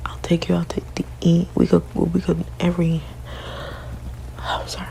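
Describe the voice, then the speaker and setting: A woman whispering softly and haltingly in an ASMR partner roleplay, often restarting her sentences. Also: on the low side, monotone, gravelly, rough recording.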